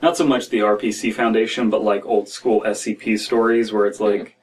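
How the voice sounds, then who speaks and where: Rambling American guy, slightly low voice. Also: level tone, brisk. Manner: rambling, peppered with "like" and "you know". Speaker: podcast host commenting on stories. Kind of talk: casual off-the-cuff commentary. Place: small room at home, indoors. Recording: distant mic with room noise.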